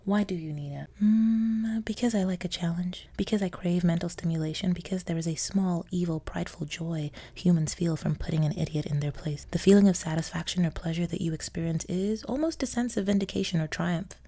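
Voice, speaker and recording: A woman from Nigeria hosts her own podcast, telling a scripted story in a low, mellow voice. Her tone is wry and sardonic, with theatrical emphasis and soft laughs slipping in. A gravelly edge runs through her speech. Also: a little fast, few pauses. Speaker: podcast host.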